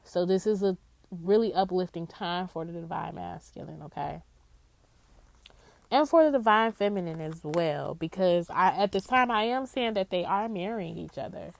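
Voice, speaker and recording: A woman's voice: on the low side, gravelly. A woman reading tarot-style cards in a casual spiritual chat, with a nasal, congested voice, often checking in with the listener. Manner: slow and drawn-out, with frequent "okay?" check-ins. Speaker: psychic tarot reader.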